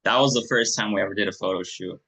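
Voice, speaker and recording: A man's voice: on the low side, fast, sharp tone. A man hosting a casual interview in a laid-back, friendly way, with fillers like "like" and "man.". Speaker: host and coach.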